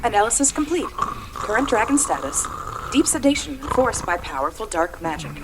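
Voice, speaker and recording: A woman's voice: on the high side, sing-song, brisk, vocal fry, music in the background. A woman voicing a cheerful, doting golem tour guide with a sweetly polite, robotic manner in an audio drama. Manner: robotic, announces each phrase type, sweetly polite. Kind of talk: audio drama character acting.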